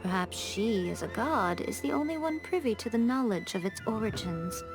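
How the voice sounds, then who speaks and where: A woman's voice: a little high, expressive, moderate pace. A woman playing an elegant, shrewd shrine priestess, formal, poised and slyly teasing, in a video game cutscene. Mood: measured, explanatory. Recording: music under the voice.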